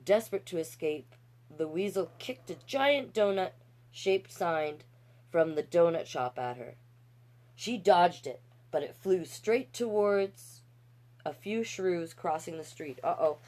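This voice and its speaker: A woman with a low voice reads picture books aloud as a storytime host. She is animated and folksy, slipping easily from the story into chatty, encouraging remarks to her viewers. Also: takes it slowly.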